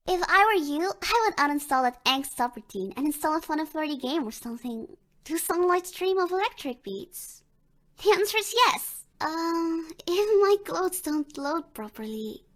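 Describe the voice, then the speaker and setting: A woman voicing a bubbly young female digital pop idol in a video game, with a perky, cute, theatrical delivery. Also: high voice. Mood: chatty, teasing. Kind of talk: video game character dialogue.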